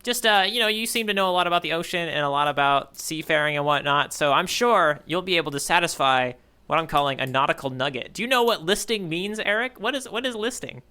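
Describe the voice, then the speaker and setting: American guy chatting on a podcast, slightly high crisp voice, thinks out loud and rewords stuff. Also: lively intonation, fast, few pauses. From United States. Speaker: podcast co-host. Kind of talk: casual chat.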